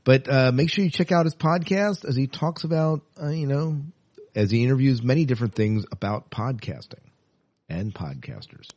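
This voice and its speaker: A man's voice: medium pitch, lively intonation, moderate pace, a little mellow. A man hosting a podcast in a casual, chatty style. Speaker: podcast host.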